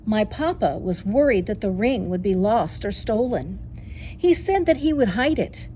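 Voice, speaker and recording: A woman voicing a tender, nostalgic elderly great aunt in an expressive children's storybook reading. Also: mid-range voice, moderate pace, slight background noise, natural voice. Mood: wistful. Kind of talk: children's storybook read-aloud.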